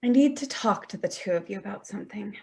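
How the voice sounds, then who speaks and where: A woman's voice: mid-range voice, expressive, fast talker, creaky, warm tone. A woman playing an exasperated, defensive adult daughter in a staged play reading, speaking tersely and bristling.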